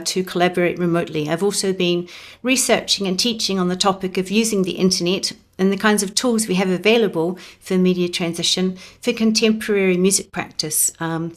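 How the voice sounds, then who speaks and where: A woman giving a prepared academic talk remotely into a close mic, in a measured, reflective manner. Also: on the low side. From New Zealand. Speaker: conference presenter. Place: presenting remotely over the internet. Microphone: close microphone.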